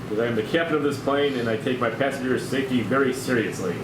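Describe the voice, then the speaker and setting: A man playing an earnest, formal, deadpan airline captain in a comedy table read, in an echoey, noisy room. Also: medium pitch, quick pace, natural voice. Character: earnest, absurdly calm male airline captain. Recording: echoey room, clear background noise.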